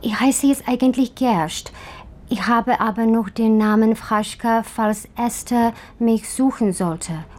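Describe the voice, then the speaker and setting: A woman's voice: on the high side, slow, darkish tone. An actress in a radio drama playing an anxious Holocaust survivor searching for her lost sisters, hesitant and insistent.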